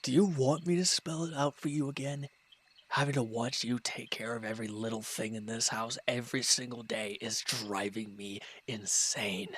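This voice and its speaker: A man's voice: medium pitch, little pitch movement, moderate pace, a bit bright, breathy edge. A man acting a proud, bitter, wounded villain in an audio roleplay, speaking in a curt, irritable, dismissive way. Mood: bitter, exasperated. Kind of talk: dramatic roleplay audio monologue.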